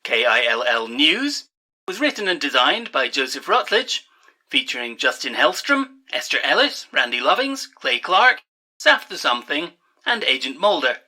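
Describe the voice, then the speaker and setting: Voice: mid-range voice, big pitch swings, moderate pace, sharp tone, exaggerated voice. A performer playing a late-night radio host, reading a scripted sign-off and credits in a theatrical announcer manner. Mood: showy, courteous.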